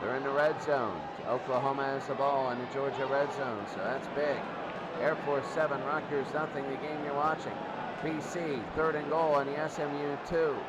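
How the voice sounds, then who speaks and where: A man's voice: medium pitch, steady tone, moderate pace. A man doing live American football play-by-play as the announcer, in short clipped bursts that often repeat. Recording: very noisy ambient amateur recording.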